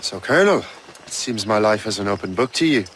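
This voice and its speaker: A man's voice: lowish voice, animated, brisk, a bit bright, slight background noise, poor audio. A man with an Irish accent playing a loyal, wry young sea captain in a video game. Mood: wry, guarded. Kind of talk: video game cutscene acting.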